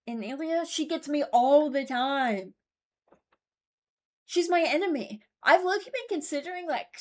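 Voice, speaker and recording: A young woman hosting a video with casual, informal commentary on game voice lines, rambling and often interrupting herself. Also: high-pitched. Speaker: streamer and video host.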